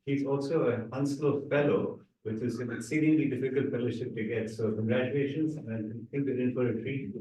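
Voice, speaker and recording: A man's voice: medium pitch, fairly even intonation, brisk, hardly any pauses, dark tone, a little hiss or hum. A man hosting a seminar, introducing the guest speaker in a brief, upbeat way. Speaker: seminar host. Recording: echoey room, far from mic.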